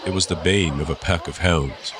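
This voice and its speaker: A man narrating a dramatized audiobook with suspenseful, deliberate phrasing. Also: low voice. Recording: clear background ambience noise.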